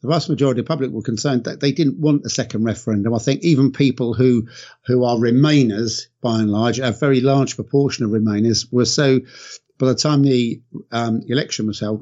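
Croaky male guest getting over a cold, halting speech full of fillers, heard over a muffled lo-fi line. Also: medium pitch, a little mellow. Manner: hesitant, many fillers. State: hoarse from a cold. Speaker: guest commentator. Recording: dull lo-fi line.

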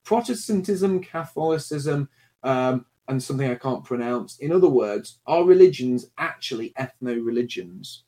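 A man hosting a livestream, briskly reading out donor messages and questions. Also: mid-range voice, animated, mellow. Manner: brisk, reads messages aloud with small laughs. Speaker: livestream host reading donations. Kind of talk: casual livestream chat.

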